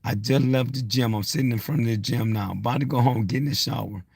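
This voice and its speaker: Gravelly, husky man around sixty. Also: medium pitch, fairly even intonation, fast talker, darkish tone, no echo. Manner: blunt, insistent, repetitive, swearing. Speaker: social media motivational speaker. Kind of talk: unscripted motivational rant to camera.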